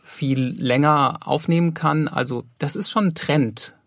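A man's voice: on the high side, measured pace, vocal fry, fairly bright tone, no echo, studio quality. A man speaking conversationally in an interview as an audio drama producer.

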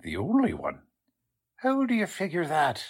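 An actor playing a gruff, folksy police sergeant in 1940s radio drama style. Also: male voice, fairly high pitch, huge pitch swings, measured pace, exaggerated voice. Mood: puzzled. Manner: folksy, colloquial, blustering. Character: gruff folksy male police sergeant, bossy yet easily baffled.

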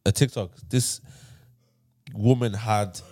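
A man's voice: lowish voice, fairly even intonation, slow, warm tone, no room sound. A man casually telling a story on a podcast, rambling with fillers and restarts. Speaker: podcast host. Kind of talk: casual chat.

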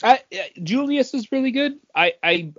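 A man's voice: very high voice, animated, moderate pace, a bit bright, no room sound. Male podcast host, conversational and rambling, dry room, lo-fi muffled capture. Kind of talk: casual chat about craft beer.

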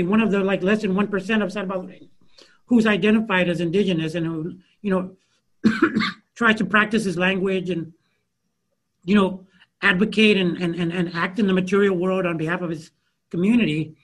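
A man's voice: high-pitched, fairly even intonation, fairly quick. A man, an author, answering audience questions in a thoughtful, conversational way, with many fillers and restarts as he searches for words.

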